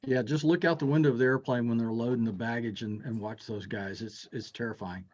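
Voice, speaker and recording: A man's voice: medium pitch, fairly even intonation, fairly quick, a little mellow. A man offering casual advice in a hesitant way, repeating words, on an online video call. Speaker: workshop participant. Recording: slightly dull video-call audio.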